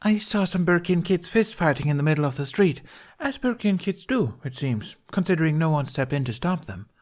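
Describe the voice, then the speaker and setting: A man's voice: a little high, lively intonation, darkish tone, voice pushed up, close to an everyday voice. A man voicing a chatty, cheerful young female fairy who gushes eagerly in a storybook reading. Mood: excited, rambling. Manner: rapid gushing, cheerful and blithe.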